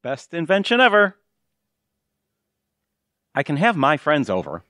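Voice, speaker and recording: Man acting animated, easygoing older husband Dick. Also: on the high side, measured pace, noisy background. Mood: reassuring. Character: easygoing, teasing retirement-age husband Dick. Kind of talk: audio theatre acting.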